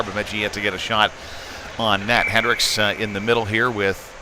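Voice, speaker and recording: A man calling live hockey play-by-play in a halting, conversational way, with frequent hesitations. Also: on the low side. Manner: halting, filler-heavy, conversational delivery. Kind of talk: live amateur sports play-by-play commentary. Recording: clear background noise, live venue ambience.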